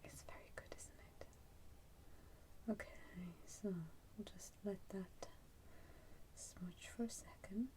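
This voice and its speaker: A woman doing an ASMR roleplay as a shopkeeper, whispering breathily and gently reassuring the listener as she narrates each step. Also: medium pitch, fairly even intonation, slow pace, pauses often, rough recording. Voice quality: breathy, mostly unvoiced whisper. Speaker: ASMR roleplay performer playing a soup shop keeper.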